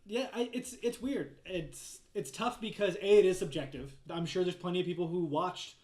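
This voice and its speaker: A man with a slightly high voice talks as a podcast co-host in a casual panel chat. He explains his opinions at length. The recording has a clearly echoey room sound. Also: moderate pace, a little mellow. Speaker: podcast co-host discussing gaming news. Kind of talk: casual panel chat about pop culture.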